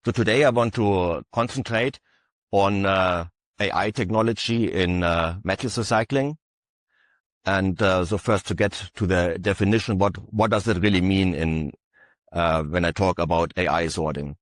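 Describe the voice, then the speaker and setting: A man presenting his company's equipment at an industry session, speaking haltingly with many filler uhs. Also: deep voice, dry recording, clean studio sound. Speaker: conference panelist.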